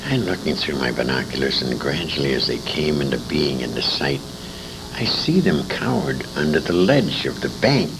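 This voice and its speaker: Older American male, very low gravelly voice, veteran storyteller, emphatic and dramatic, on a noisy amateur tape. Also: sing-song, moderate pace. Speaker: interviewee, war veteran and writer. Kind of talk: conversational war storytelling. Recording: noisy amateur recording with room ambience.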